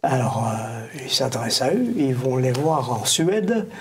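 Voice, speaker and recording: A man's voice: mid-range voice, sing-song, measured pace, a little mellow. A man who is not a native French speaker, explaining history in a studio discussion, hesitating often with fillers and restarts. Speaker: guest historian.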